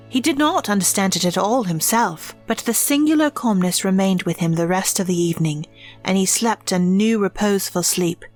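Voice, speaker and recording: A woman giving scripted storybook narration in a measured, reflective way. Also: medium pitch.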